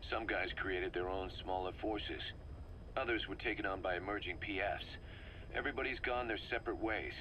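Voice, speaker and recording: Deep, fervent mercenary second-in-command. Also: male voice, moderate pace, bright, forceful tone. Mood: grave, bitter. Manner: persuasive, emphatic, speechifying. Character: fervent, embittered male mercenary second-in-command briefing his boss. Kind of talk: video game dramatic dialogue. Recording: noisy cassette briefing with background rumble.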